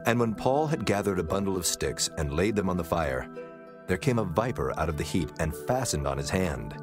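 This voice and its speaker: A male narrator with a very low voice reads scripture as scripted drama. His delivery is measured and solemn, and music plays clearly behind him. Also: very animated.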